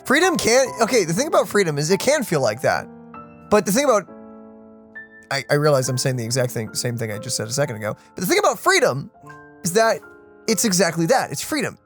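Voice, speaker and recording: A woman giving casual, animated and rambling reaction commentary as she plays a game. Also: on the low side, fast, faint music behind. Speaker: let's play streamer.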